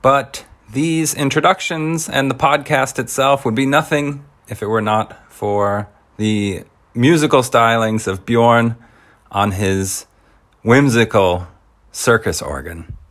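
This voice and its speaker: A man's voice: mid-range voice, slow pace, fairly bright tone. A man presenting his own podcast in a casual, explanatory trailer voice-over.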